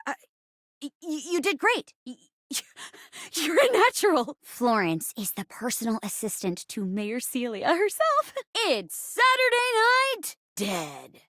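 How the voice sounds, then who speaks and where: High, animated, flirty theatre chair. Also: female voice, unhurried, no echo, studio quality, heavily acted. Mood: encouraging, upbeat, then showy, inviting. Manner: bubbly, theatrical, stammering when flustered. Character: living chair, eager theatre actress, flustered and flirty. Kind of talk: video game character voice acting.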